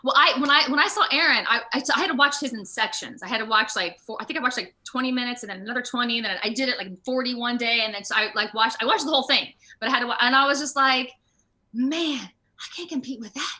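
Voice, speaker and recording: An American woman from Ohio talking casually as an interview guest, earnest and animated, rambling from point to point. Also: fairly high pitch, fast talker. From Ohio, United States. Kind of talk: casual online interview chat.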